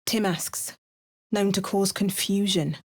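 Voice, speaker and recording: British female game hero; dry wit, steady mid-range voice, close booth mic. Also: mid-range voice, slow pace. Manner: dry, wry and matter-of-fact. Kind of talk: video game voice lines. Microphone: close studio mic.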